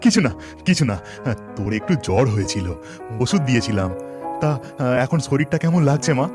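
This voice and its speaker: A man's voice: mid-range voice, very animated, fairly quick, natural voice. A man voicing a poor, anxious village fisherman and devoted father in a melodramatic horror cartoon. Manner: fretful, melodramatic. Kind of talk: Bengali horror cartoon voice acting. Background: music.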